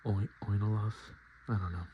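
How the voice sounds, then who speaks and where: A man speaking softly and in whispers, chatting casually as an ASMR host with an unhurried, self-deprecating manner. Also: on the low side, fairly even intonation, highs cut off, noisy background. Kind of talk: soft-spoken and whispered ASMR, casual chat.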